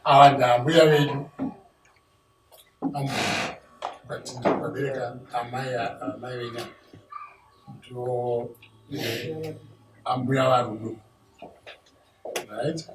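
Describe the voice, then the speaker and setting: A roomy recording, made some way from the mic with clear noise behind. A man acts out a Zimbabwean family elder in a marriage drama, negotiating formally and deliberately as spokesman for the bride's fathers. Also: mid-range voice, steady tone, far from the mic, lightly acted. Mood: formal, introducing. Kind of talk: acted ceremonial negotiation speech.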